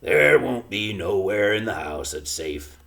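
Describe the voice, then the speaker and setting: A man reading aloud and voicing a small, stubborn working-class father who speaks in a flat, expressionless way with rustic grammar. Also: lowish voice, measured pace, gravelly, exaggerated voice. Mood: gruff, firm.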